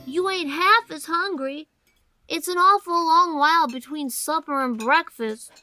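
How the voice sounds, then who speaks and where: A very high, bright little boy's voice, cheeky and argumentative. He speaks in folksy childish grammar and keeps insisting on his point, as in an audio storybook drama, with music underneath. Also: a little slow, dry recording. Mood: whiny protest. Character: cheeky, argumentative seven-year-old boy.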